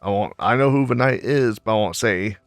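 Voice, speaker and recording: A man's voice: on the low side, vocal fry, dry recording. A man giving casual, hesitant let's play commentary and reading game dialogue aloud. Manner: hesitant, halting. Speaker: gaming video host.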